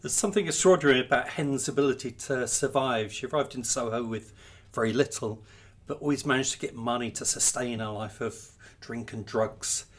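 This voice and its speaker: A man's voice: medium pitch, fairly quick. A man co-hosting an interview, setting out considered comments before asking his question. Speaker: podcast interviewer.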